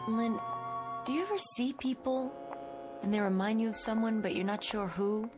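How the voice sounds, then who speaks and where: A reserved young schoolteacher played by an actress, anxious and earnest, in an audio drama. Her fairly high voice is hesitant and apologetic. Music runs clearly behind her. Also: normal speed, close to an everyday voice. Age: 20s. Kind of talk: naturalistic audio drama dialogue.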